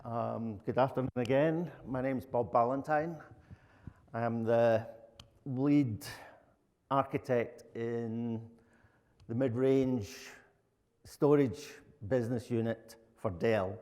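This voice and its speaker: A man's voice: medium pitch, takes it slowly, pauses often, a little mellow. A middle-aged man with a Glaswegian Scottish accent, giving an informal, plain-spoken conference presentation. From Glasgow, Scotland. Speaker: conference speaker, lead software architect. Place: conference room, indoors. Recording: echoey hall with long reverb.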